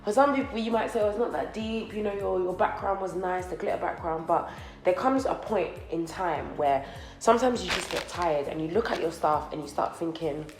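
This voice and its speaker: A woman's voice: on the low side, fairly quick, echoey room, soft background music. A young woman, chatting to her viewers in a casual vlog while doing her makeup, sounding slightly nasal from a recent cold. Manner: rambling and chatty, talks to viewers as friends. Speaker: beauty vlogger. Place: co-working office space, indoors.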